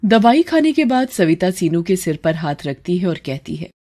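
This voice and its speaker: A woman's voice: lowish voice, sing-song, moderate pace, dark tone. A woman narrating a scripted story as storyteller.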